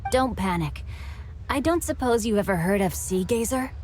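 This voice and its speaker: A woman's voice: mid-range voice, animated, normal speed, noisy background, natural voice. A woman voicing a bookish young boy scholar in a video game, polite, articulate and composed. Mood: reassuring. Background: game music.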